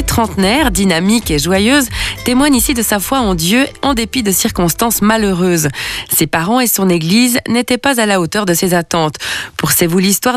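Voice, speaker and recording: A woman's voice: medium pitch, no echo. A woman presenting the program, reading a scripted introduction in a polished announcer style. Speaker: show presenter.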